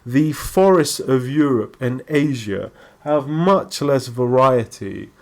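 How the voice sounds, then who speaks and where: A man's voice: mid-range voice, takes it slowly. A man with an English accent, a guest on a podcast, chatting casually and joking as he explains. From Britain. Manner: lively joking storyteller, laughs often. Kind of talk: casual chat.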